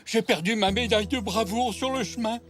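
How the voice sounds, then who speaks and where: A man's voice: high-pitched, brisk, natural voice. A man voicing a sentimental old grandfather zebra, an army veteran, with theatrical expression in a French children's cartoon. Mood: distressed.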